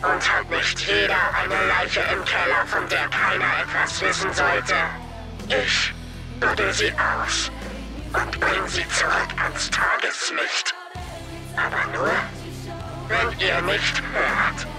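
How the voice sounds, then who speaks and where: An actor playing a cold, taunting masked male blackmailer in an audio drama, theatrical and threatening, with a breathy hiss. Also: high-pitched, takes it slowly. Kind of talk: audio drama villain speech. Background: loud music.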